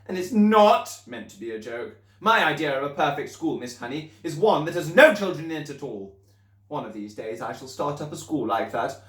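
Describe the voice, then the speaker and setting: A man's voice: expressive, normal speed, fairly bright tone. A man barking as a furious giant headmistress. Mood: scornful. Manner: booming, scornful tirades. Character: huge, furious, bullying female headmistress. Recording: roomy echo.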